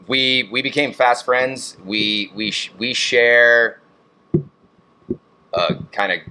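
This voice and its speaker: A man, a managing partner, speaking in a measured, businesslike way in a casual interview. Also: medium pitch, pushed and bright, smooth, low-quality recording. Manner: measured, businesslike, talks in brand terms.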